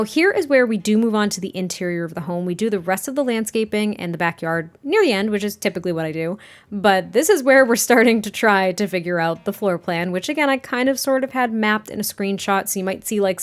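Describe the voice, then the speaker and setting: A woman with a slightly raspy voice chatting casually in a voice-over about her building, with fillers and a rambling, friendly manner. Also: medium pitch, expressive, brisk. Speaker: game builder narrating a speed build. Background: soft background music.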